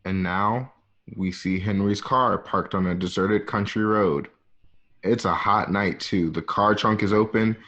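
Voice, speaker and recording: A man's voice: lowish voice, unhurried, a bit bright. Man narrating, muffled lo-fi recording. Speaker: narrator of an online radio play. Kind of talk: conversational reading of stage directions. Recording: dull lo-fi home connection.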